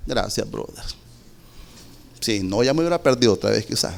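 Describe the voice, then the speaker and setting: A middle-aged man with a Salvadoran accent preaching a testimony sermon in a church, slow and emphatic, with sudden shouted peaks. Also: mid-range voice, animated, creaky. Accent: Salvadoran Spanish.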